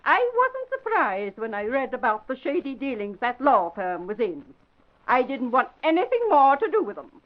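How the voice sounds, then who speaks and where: An actress playing a blunt, feisty older spinster with a folksy, tart manner in an old-time radio drama. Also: female voice, sits high, very animated, moderate pace. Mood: indignant, tart. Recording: dull vintage radio recording.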